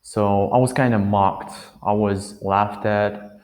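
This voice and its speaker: A nineteen-year-old man talks straight to the camera without a script, in a voice that sits a little on the low side. He speaks very slowly, pausing often and hunting for words as he glances around to think. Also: fairly even intonation, a little mellow. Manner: hesitant, many fillers and restarts. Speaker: vlogger. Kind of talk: unscripted talking-head advice.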